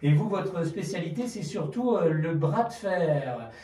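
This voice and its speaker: A man interviews guests live on radio with hesitant, halting questions. His voice sits a little high and soft-edged, heard slightly off the mic in a roomy space. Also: expressive, far from the mic. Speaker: radio host interviewing athletes.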